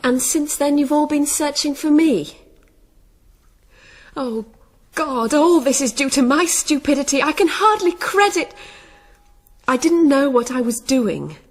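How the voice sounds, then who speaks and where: High-voiced earnest young noblewoman. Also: expressive, measured pace, pauses often. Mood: earnest, anxious. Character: imperious, headstrong young noblewoman, remorseful and earnest. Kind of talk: radio drama acting.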